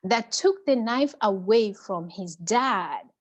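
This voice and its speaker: A woman's voice: medium pitch, animated, slow pace. A middle-aged woman, a guest on a video call interview, talking conversationally with many "you know" fillers.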